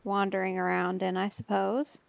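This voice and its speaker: A woman's voice: medium pitch, level tone, moderate pace, creaky, no echo. A woman giving casual let's play commentary, musing to herself in loose asides as she plays. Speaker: streamer, let's play host. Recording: slightly dull, dry close recording.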